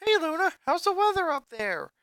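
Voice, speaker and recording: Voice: very high-pitched, sing-song, normal speed, a bit bright, no echo, clean studio sound, pitched above the performer's own voice, heavily acted. A reader voicing a fourteen-year-old girl comedian who keeps cracking puns and laughing at her own jokes. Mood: joking. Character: upbeat teen girl comedian, loves puns.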